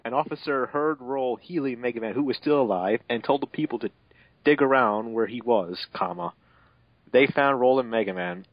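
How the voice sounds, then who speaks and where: A man's voice: mid-range voice, no echo. A young man giving an amateur dramatic reading of fanfiction, stumbling over the text and adding sarcastic asides. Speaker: fanfiction reader and commentator. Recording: dull, slightly muffled home recording.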